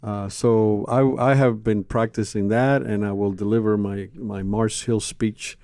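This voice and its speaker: A man's voice: fairly low pitch, fairly even intonation, measured pace, dark tone, dry recording. A man, talking casually and reflectively as an interview guest, close on a studio microphone. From Cuba. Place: small indoor studio.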